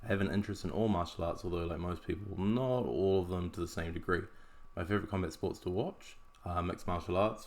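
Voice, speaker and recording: A man with a New Zealand accent hosting a podcast, reading a scripted narration with dry, wry asides. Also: deep voice. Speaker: podcast host.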